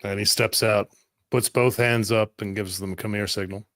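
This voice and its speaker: Low, gravelly male game master. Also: fairly even intonation, moderate pace, fairly bright tone, no echo. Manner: dramatic, clipped present-tense narration. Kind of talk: narrating a tabletop roleplaying game.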